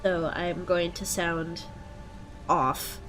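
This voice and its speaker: Slow, stuffy-nosed woman commentating a game. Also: on the low side, soft background music. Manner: relaxed, thinking aloud with frequent ums. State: congested with a head cold. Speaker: let's play host. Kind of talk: casual gameplay commentary.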